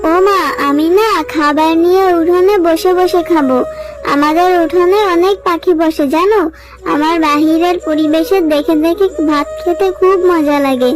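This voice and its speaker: A woman's voice: very high voice, normal speed, music in the background, poor audio. A woman voicing a sweet, pleading little fox girl in a cartoon, with a childlike, coaxing manner. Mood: sweet, eager. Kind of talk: cartoon dubbing.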